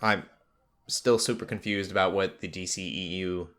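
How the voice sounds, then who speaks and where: Guy podcast host w a lowish voice, casual rambly chat, wanders off on tangents. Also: fairly even intonation, moderate pace, a bit bright. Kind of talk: casual pop culture chat.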